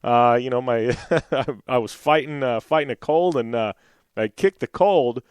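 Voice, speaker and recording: A man hosting a motorsports radio show, talking casually with lots of fillers and restarts, his voice a little raspy after a cold. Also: medium pitch, normal speed, no echo, studio quality. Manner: rambling, many fillers and restarts. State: slightly raspy from losing his voice.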